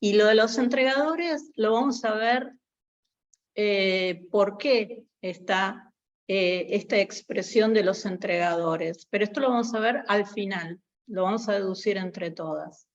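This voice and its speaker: A woman giving an online theology class, speaking haltingly with many fillers. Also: mid-range voice, muffled recording. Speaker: guest lecturer.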